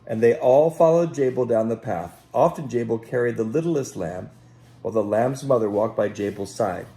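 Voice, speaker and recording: A man reading a children's story aloud in an expressive, dramatic way, pausing to speak directly to young listeners. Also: medium pitch, low-quality recording. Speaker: Sunday school storyteller. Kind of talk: animated children's story read aloud with asides. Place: at home.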